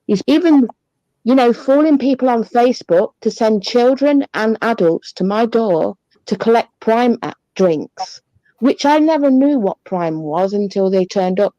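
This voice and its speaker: A woman's voice: on the high side, lively intonation, a little slow, mellow, dry recording, highs cut off. A British woman arguing heatedly in a blunt, indignant, foul-mouthed manner. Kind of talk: heated argument.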